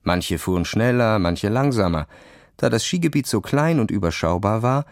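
Low, creaky male children's story narrator. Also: lively intonation, normal speed, no room sound, studio quality. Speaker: audiobook narrator.

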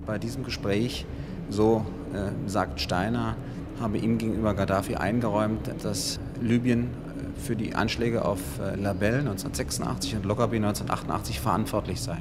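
Halting, lowish-voiced man amid traffic noise. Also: fairly even intonation. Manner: halting, hesitant phrasing. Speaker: lawyer. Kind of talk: interview statement. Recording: vehicle and ambient noise behind. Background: ambience with vehicles.

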